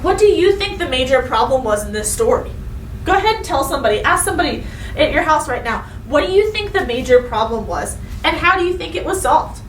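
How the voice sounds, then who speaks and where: A woman with a high voice speaks as a teacher to young children. She is expressive and instructive, addressing listeners directly. The recording sounds roomy and distant, with faint noise. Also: a little fast. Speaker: elementary school teacher. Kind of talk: read-aloud storytelling and lesson for children.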